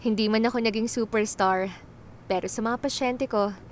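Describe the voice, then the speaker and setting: Actress as Krista, higher-voiced teasing lady doctor. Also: fairly even intonation, normal speed, background noise, close to an everyday voice. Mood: composed, sincere. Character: Krista, former teen star turned doctor, teasing, serene, contented. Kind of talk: Filipino radio drama acting. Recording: faint music behind the voice.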